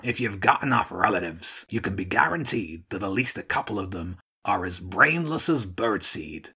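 A man voicing a gruff old seagull archduke in a storybook, commanding and shrewd, barking out orders. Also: deep voice, expressive, normal speed, sharp tone, full character voice. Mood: wry, relaxed. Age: older.

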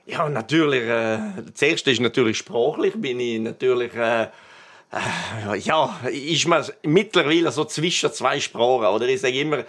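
A man from Italian-speaking Switzerland, a native Italian speaker with a Swiss Italian accent, answering interview questions haltingly with repeated words and tag questions. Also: mid-range voice, fairly bright tone. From Bergell, Italian-speaking Switzerland. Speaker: cabaret artist and guest.

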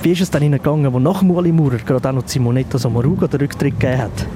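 A man's voice: medium pitch, steady tone, very fast. A man with a Swiss accent, a reporter asking matter-of-fact interview questions. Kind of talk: news interview. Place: train carriage. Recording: noisy train interview.

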